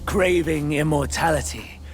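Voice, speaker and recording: A man's voice: on the high side, music in the background, lightly acted. A man playing a cryptic, mocking yao guai spirit in a fantasy game, speaking in archaic, theatrical phrases. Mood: solemn, cryptic. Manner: slow archaic theatrical delivery. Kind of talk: dramatic fantasy game cutscene acting.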